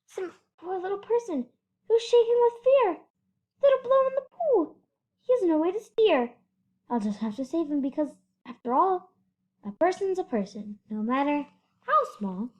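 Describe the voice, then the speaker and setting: A woman's voice: very high-pitched, sing-song, moderate pace, darkish tone, pitched above the performer's own voice. A woman voicing a kindly, earnest male elephant from a children's storybook, with emphatic delivery. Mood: earnest, concerned.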